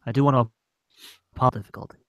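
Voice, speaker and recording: A man's voice: medium pitch, rapid-fire, creaky, no room sound, highs cut off. A man on an internet video call, chatting casually with wry, exasperated complaining as a podcast cast member.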